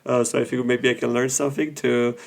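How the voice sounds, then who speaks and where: A man, a guest professor from Romania, talking in a measured way and pausing often between phrases in a casual interview. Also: medium pitch, level tone, vocal fry.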